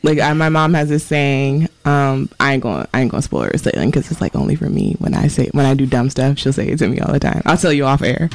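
Young southern gal on college radio, chatty and giggly, dry studio sound. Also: female voice, a bass voice, quick pace, vocal fry, few pauses, no room sound. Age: 20s. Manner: chatty, giggly, loose slang. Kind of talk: casual chat. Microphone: studio microphone.

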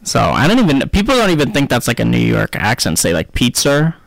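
A man's voice: mid-range voice, wildly swooping pitch, brisk, vocal fry, a bit bright, dry recording. A man in his twenties co-hosting a college radio show, in easygoing, laughing chat. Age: 20s. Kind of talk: casual chat. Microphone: studio microphone.

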